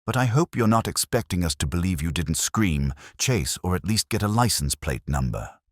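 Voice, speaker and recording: A man's voice: sits low, very animated, moderate pace, dry recording, studio quality. A man voicing a wisecracking, skeptical detective's assistant in a hard-boiled audiobook. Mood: sardonic.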